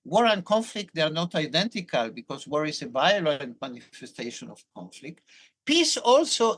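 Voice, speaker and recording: Brief: older male academic with a Hebrew accent, thoughtful and explanatory, lively intonation. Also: sits high. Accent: Hebrew-accented English. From Argentina. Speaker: professor, guest. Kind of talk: academic interview.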